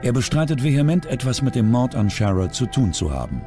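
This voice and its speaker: A man's voice: medium pitch, sing-song, fairly quick, music in the background. A man reading scripted true-crime documentary narration.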